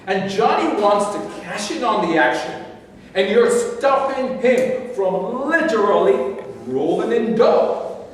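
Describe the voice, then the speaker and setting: A man reading the part of a smooth-talking preacher and con man in a staged play, slick and persuasive. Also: high-pitched, lively intonation, slow pace, a little hiss or hum. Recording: echoey hall from a distance.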